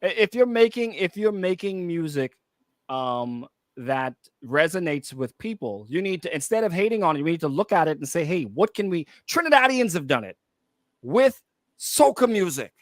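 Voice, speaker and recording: A man co-hosting a casual radio discussion, working through his points haltingly and rephrasing them. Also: fairly high pitch, very animated, no room sound. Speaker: radio talk show co-host.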